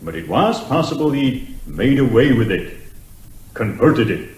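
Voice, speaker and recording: A man's voice: mid-range voice, very animated, a little slow, a little mellow. A man playing a plain-spoken, deferential and slightly flustered police constable giving evidence in a courtroom comedy drama. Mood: flustered, earnest. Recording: echoing room.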